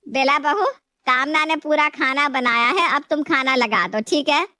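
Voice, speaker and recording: A woman playing a scolding middle-aged mother-in-law in an animated moral story, reproachful and exaggerated. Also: sits high, moderate pace, dry recording, clean studio sound.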